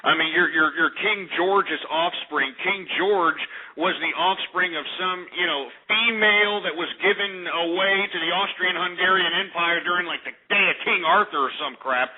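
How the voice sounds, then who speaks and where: A man's voice: high-pitched, moderate pace, hardly any pauses. An American man hosting a call-in radio show, ranting argumentatively in a blustering, mocking manner and cutting in. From United States. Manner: combative, blustering, mocking, interrupting. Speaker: radio talk show host. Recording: tinny phone-like internet radio sound.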